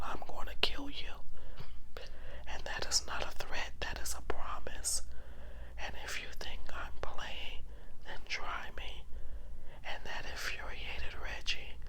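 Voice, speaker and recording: A storyteller of unclear sex whispering a true crime story for ASMR, in a hushed, deliberate, dramatic way. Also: high-pitched. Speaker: ASMR storyteller, channel host.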